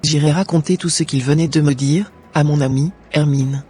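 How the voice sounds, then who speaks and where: An actor voicing a young woman in a church cartoon, husky, mellow, earnest, with soft music behind. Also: deep voice, fairly even intonation, moderate pace. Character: young woman named Nadège, troubled churchgoer.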